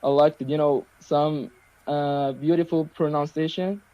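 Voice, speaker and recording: A man who speaks Persian natively, practising English on a video call, talking haltingly with many fillers and restarts. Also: fairly high pitch, fairly even intonation, dark tone, no echo. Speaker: English learner. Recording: dull lo-fi video call audio.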